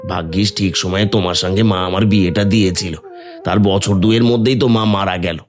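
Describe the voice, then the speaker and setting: A man's voice: low voice, steady tone, quick pace, soft background music, natural voice. A man playing a worried poor village laborer. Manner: worried, earnest.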